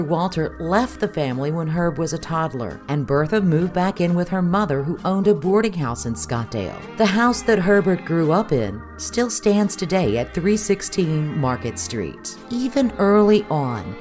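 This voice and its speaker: A woman narrates a history documentary in a fairly low voice with lively rises and falls. Her delivery is measured and earnest, and music plays behind her.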